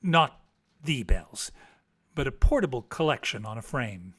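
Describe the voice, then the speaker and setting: A man reading a classic story aloud in a theatrical, expressive and deliberate literary style. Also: medium pitch, slightly rough. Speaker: storybook reader.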